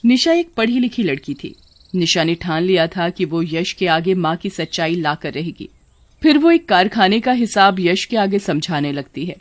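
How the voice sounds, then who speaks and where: A woman's voice: fairly low pitch, expressive, normal speed, no echo. A woman giving scripted narration for a children's cartoon story.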